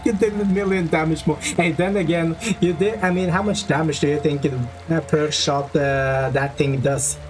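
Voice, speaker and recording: A man's voice: on the high side, fairly even intonation, normal speed, hardly any pauses, rough recording. A man with a Norwegian accent chatting casually while streaming a game, laughing often and rambling through explanations. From Norway. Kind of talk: casual gaming chat. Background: game music.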